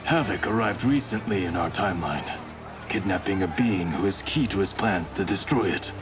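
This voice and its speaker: An actor playing a stoic, resolute warrior in a video game, terse, formal and serious. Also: male voice, low voice, animated, moderate pace. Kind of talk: cinematic video game acting. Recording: game cutscene with music behind.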